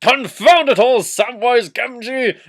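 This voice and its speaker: A man's voice: extremely high pitch, slow, a bit bright, voice pushed up, exaggerated voice. A man voicing an irascible, cartoonish old wizard in a comic reading, bellowing and overblown. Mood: enraged.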